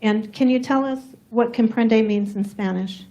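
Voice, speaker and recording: A soft-spoken woman prosecutor questioning a witness in a courtroom, measured and methodical. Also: on the high side. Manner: measured, formal, methodical questions with some fillers.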